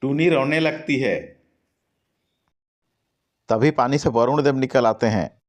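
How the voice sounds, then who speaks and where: A man's voice: mid-range voice, slow pace. Man narrating a children's cartoon story.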